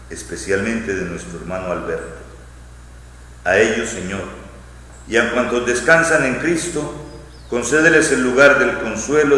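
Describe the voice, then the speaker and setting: Echoing, low-voiced priest praying solemnly. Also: male voice, bright, forceful tone. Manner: solemn, measured, phrase by phrase. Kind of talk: liturgical prayer recitation. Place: large church interior. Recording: echoing church hall, long reverb, faint noise.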